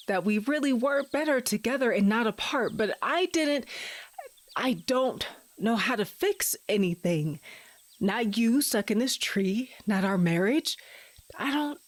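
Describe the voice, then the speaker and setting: A woman's voice: on the high side, dry recording. A woman playing a practical, hurt estranged wife in an audio drama, speaking with hesitant, dry understatement.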